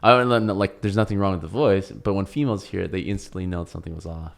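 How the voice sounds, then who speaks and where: A man's voice: low-pitched, fairly even intonation, quick pace, gravelly. A man co-hosting a podcast, talking in a loose, rambling and opinionated way. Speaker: podcast co-host. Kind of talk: casual chat.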